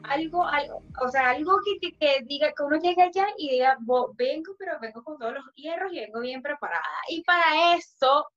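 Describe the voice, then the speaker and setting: A woman co-host with a high voice, playful and affectionate, speaking in quick bursts. She sits a bit back from the mic. The sound is dull and lo-fi. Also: fairly bright tone, far from the mic. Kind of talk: casual talk show chat.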